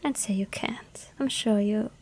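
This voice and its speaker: Hungry yandere vampire girl as a woman voices her, breathy whisper, slow pace, mellow tone, faint room noise. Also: medium pitch, lightly acted. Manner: breathy soft whisper, pleading. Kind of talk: ASMR roleplay whispering.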